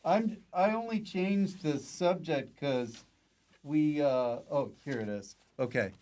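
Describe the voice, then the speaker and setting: A man's voice: on the high side, takes it slowly, a little mellow. A man hosting a casual comedy podcast, encouraging and easygoing as he chats. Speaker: podcast host. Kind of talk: casual comic chat.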